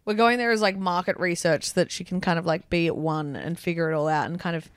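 A woman's voice: fairly low pitch, fast talker, clean tone, no echo. Sweary young Australian woman, casual chat. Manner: sweary, filler-heavy teasing. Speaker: podcast co-host. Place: abroad, travelling in Italy.

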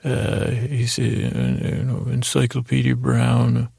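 A man hosting a bedtime podcast in a halting, meandering, gently silly monologue, with a gravelly, creaky voice. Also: medium pitch, big pitch swings, dry recording, clean studio sound. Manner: meandering, halting, trails off and loses his way between words. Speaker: podcast host.

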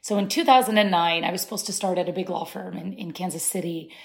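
A woman's voice: fairly low pitch, fairly even intonation, fast, creaky. A woman in her thirties, born in Syria, speaking as an interview guest in a thoughtful way with many restarts. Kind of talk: conversational interview.

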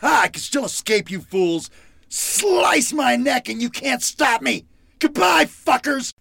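An actor playing a panicked, foul-mouthed captive in old-time radio horror style, halting and melodramatic. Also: male voice, very high voice, expressive, creaky, bright, forceful tone, husky, no echo, full character voice. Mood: defiant. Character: panicked, foul-mouthed captive man pleading and scheming to escape.

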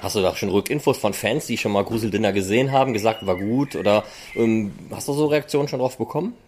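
A man with a fairly low voice interviews a guest on a podcast. He speaks very rapidly, in a casual, chatty style. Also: a little mellow.

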